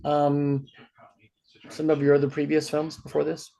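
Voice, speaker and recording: A man's voice: fairly high pitch, completely flat delivery, a little slow, vocal fry, warm tone, poor audio. A man interviewing a guest for a film festival, conversational, phrasing his questions in hesitant fragments.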